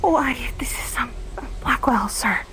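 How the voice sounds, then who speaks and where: A woman playing a timid, polite townswoman in a roleplay game, hesitant, halting and apologetic. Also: fairly high pitch, bright, forceful tone, a little hiss or hum, low-quality recording. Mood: timid, flustered. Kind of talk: live improvised roleplay acting.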